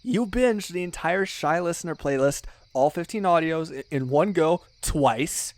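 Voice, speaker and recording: A man's voice: on the high side, expressive, a little slow, no room sound. Man acting as a chummy, teasing young best friend. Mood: animated, incredulous. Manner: chummy, teasing, slangy, with hesitations and exclamations. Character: teasing, loyal young male best friend, excitable ASMR fan. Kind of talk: ASMR roleplay, one-sided casual banter with the listener.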